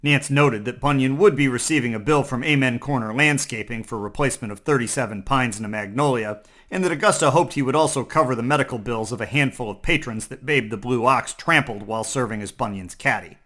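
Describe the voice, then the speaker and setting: A man's voice: mid-range voice, fairly quick, a bit bright. A man hosting a comedy newscast, reading scripted parody headlines in a mock-serious newscaster manner. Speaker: comedy news host. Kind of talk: scripted parody news narration.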